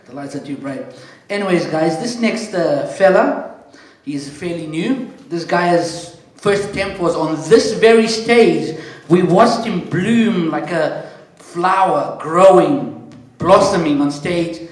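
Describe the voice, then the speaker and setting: A man with a South African accent compering a stand-up comedy night, dropping deadpan one-liners and chatting to the crowd from the stage. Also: fairly high pitch, slow. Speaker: comedy show MC. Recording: very echoey room.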